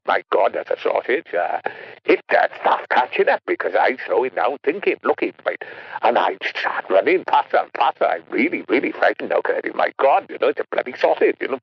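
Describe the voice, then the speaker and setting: A man playing a rambling South Welsh caller with a husky voice who keeps calling people boy and repeats himself. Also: medium pitch, dry recording, dull audio, heavily acted. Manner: rambling, repetitive, calls everyone boy. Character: rambling Welsh male caller haunted by a sausage nightmare.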